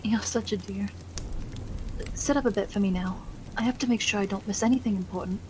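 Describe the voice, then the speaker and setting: A woman's voice: mid-range voice, a little fast, lightly acted. A woman playing a genteel, caring wife who tends the wounded in an ASMR roleplay, with tender, old-fashioned politeness. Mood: tender, affectionate. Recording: faint noise, rain behind the voice. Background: rain.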